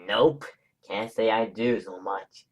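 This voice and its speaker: A man playing a defiant, bitter psychopathic killer with a sneering, drawn-out delivery in a horror audio drama. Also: on the low side, husky. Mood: mocking defiance. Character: defiant, mocking male psychopathic killer, bitter and foul-mouthed.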